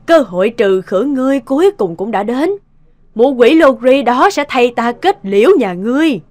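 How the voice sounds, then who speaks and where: A woman's voice: fairly high pitch, expressive, normal speed, a little mellow, dry recording, lightly acted. A woman voicing a cruel, vengeful fairy in a cartoon fairy tale, commanding and gloating.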